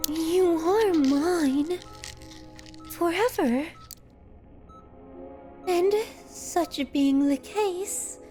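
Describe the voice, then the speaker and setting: Obsessive yandere lady, very high pitch, drawn-out slow delivery, tender, possessive, genteel, sinister, ASMR audio drama. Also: a little mellow, full character voice. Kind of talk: scripted ASMR roleplay, theatrical monologue. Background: background music.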